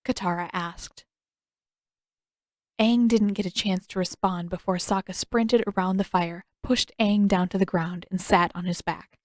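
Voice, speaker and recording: A woman's voice: mid-range voice, steady tone, unhurried, no echo, clean studio sound. A woman reading scripted fiction aloud as a story narrator. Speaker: audiobook narrator, fan fiction reader.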